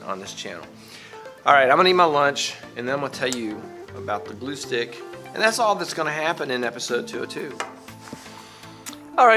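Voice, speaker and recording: Bright-voiced man talking in a casual vlog. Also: mid-range voice, creaky. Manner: halting, repeats words when serious, upbeat when telling the story. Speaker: vlogger, teacher.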